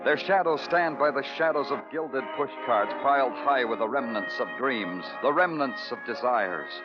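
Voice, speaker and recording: An actor playing a brooding, world-weary New York detective, narrating a radio noir drama in a somber, poetic, deliberate way. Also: male voice, medium pitch, lightly acted. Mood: somber, bitter. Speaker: narrator and lead character. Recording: dull vintage radio recording, loud music.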